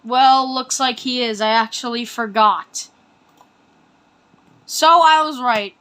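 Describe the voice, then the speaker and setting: A woman hosting a reaction video, commenting casually in an excitable, exclamatory, rambling way. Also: fairly high pitch, slow.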